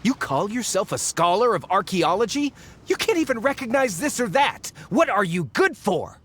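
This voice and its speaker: A man's voice: sits high, sing-song, normal speed, a bit bright, slight background noise. An actor playing a greedy, boastful man who calls himself a scholar, scornful and given to sly chuckles. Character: greedy boastful male treasure-hunting scholar. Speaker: game character. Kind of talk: video game cutscene acting. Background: game soundtrack music.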